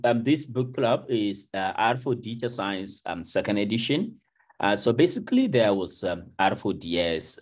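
A man's voice: fairly low pitch, darkish tone. A Nigerian man in his thirties leading a book club on a video call, talking casually with lots of ums and you knows. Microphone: video call webcam.

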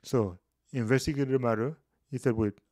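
A man's voice: mid-range voice, moderate pace, no room sound. A man in his thirties explaining scripture casually in a video, gesturing as he talks. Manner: explanatory, animated hand gestures. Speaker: Bible teacher. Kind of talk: casual Bible study video. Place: small room indoors. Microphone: studio mic on boom, headphones.

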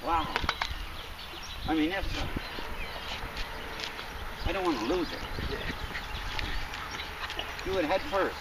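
A man filming himself fishing from a riverbank and talking casually about his catch, recorded on a camera mic outdoors with loud background noise. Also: a little high, takes it slowly, long pauses. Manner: excited, exclaiming over a big fish. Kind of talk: casual fishing vlog talk. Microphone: camera mic from a distance. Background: outdoor ambience, birds.

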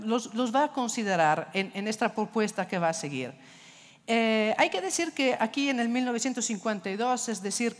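Middle-aged italian lady lecturing at a desk, lots of ums, big hall echo. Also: mid-range voice. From Italy. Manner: hesitant, many fillers, searching for words. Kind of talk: conference lecture with slides. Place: large dark auditorium.

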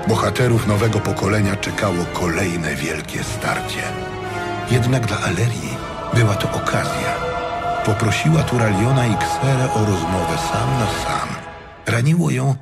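A man reading scripted dramatic fantasy narration in a measured, solemn storytelling manner. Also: fairly low pitch, creaky. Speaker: audiobook narrator.

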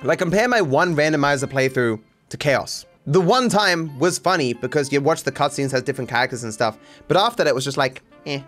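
A man in his thirties, a streamer who rambles frankly through chat comments, swearing casually, at a studio mic in a dark room. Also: on the high side, expressive, brisk, hardly any pauses, soft background music. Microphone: studio mic on boom arm, headphones.

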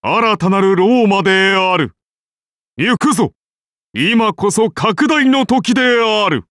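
A majestic founder-king of Rome turned god, declaims in archaic, solemn phrases for an anime game. His benevolent voice moves slowly and sweeps widely in pitch, in a close, dry recording. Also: lively intonation, a bit bright, no room sound, exaggerated voice. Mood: exalted, proclaiming. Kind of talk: anime game character voice lines.

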